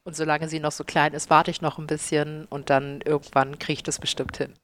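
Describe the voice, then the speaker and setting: A woman in her thirties with a Hamburg accent, a chef and restaurant owner answering interview questions with lively enthusiasm. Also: deep voice, brisk, a little hiss or hum. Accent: Hamburg German. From Hamburg, Germany.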